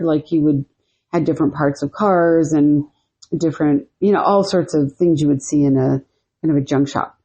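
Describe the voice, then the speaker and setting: A woman's voice: low voice, dark tone, husky, muffled recording. A woman co-hosting a podcast, telling a story casually, rambling with lots of "you know" and "like". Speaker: podcast co-host.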